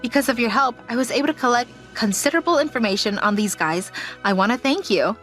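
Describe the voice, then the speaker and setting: A woman's voice: fairly high pitch, animated, fast, fairly bright tone, faint music behind. A woman playing a confident, direct company auditor in an English manga dub. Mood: sincere, grateful. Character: self-assured, teasing female company auditor.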